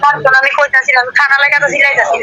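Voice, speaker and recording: A woman's voice: high voice, level tone, very fast, over a noisy crowd. A woman in a casual, quarrelsome phone conversation, protesting and repeating herself.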